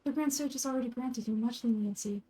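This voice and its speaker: Woman as haughty scholar-official, fantasy game, stern, pompous, formal, clipped, mellow timbre. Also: fairly high pitch, fast talker, close to an everyday voice.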